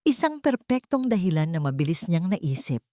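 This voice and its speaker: Female narrator for dramatic audio fiction: lower register, measured and theatrical, recorded very dry. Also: very animated, mellow, no room sound. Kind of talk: first-person dramatic scripted narration.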